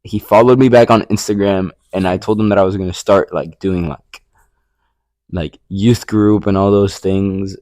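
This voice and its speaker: A man's voice: sits low, fairly even intonation, moderate pace, a little mellow, no echo. A young man from Rico, sharing his faith story in a rambling casual chat full of fillers and restarts. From Puerto Rico. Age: young adult. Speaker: podcast co-host.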